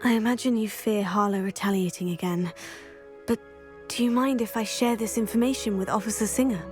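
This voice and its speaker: A woman's voice: mid-range voice, brisk, a little mellow, faint music behind, close to an everyday voice. A woman playing an earnest, composed teenage student witch in a video game, with a British accent. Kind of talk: video game voice acting, scripted dialogue.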